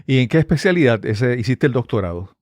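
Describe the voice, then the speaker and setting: A man's voice: medium pitch, expressive, fast, darkish tone, no room sound, clean studio sound. A man hosting a podcast interview, asking rambling friendly questions and often repeating words. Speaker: podcast host.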